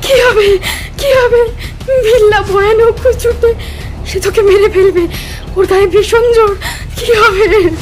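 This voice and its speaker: A woman's voice: very high voice, steady tone, slow pace, a little mellow. A woman playing a frightened young cave woman in an audio drama, pleading in an anguished, tearful wail. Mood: fearful, tearful. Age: young adult. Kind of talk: dramatized audio story acting.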